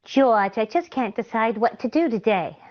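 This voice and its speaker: A chatty, innocently daft 1930s radio comedienne, acted by a woman. Her voice sits fairly high and leaps about in melody, with a slightly dull lo-fi sound. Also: expressive, normal speed, natural voice. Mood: innocently puzzled.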